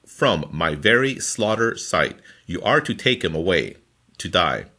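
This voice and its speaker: A man reading scripture from a formal translation in a deliberate, phrase-by-phrase manner. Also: low-pitched, sing-song, pushed and bright. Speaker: scripture reader.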